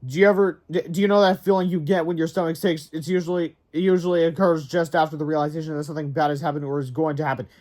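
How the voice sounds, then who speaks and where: A man's voice: a little high, steady tone, fast, hardly any pauses, a little mellow. A man narrating a storybook aloud in a dramatic way, with casual asides.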